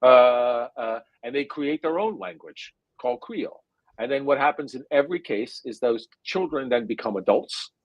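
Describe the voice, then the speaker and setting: A man's voice: medium pitch, animated, dry recording, highs cut off. Hesitant man with a New Jersey accent. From India. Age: 40s. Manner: thoughtful, hesitant, full of drawn-out "uh" fillers. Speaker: guest neuroscientist. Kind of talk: conversational interview answer.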